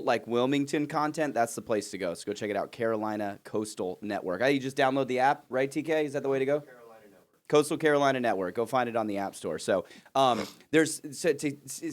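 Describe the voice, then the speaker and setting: Male podcast host, average pitch, relaxed conversational style with a bantering, teasing edge. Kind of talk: casual podcast chat.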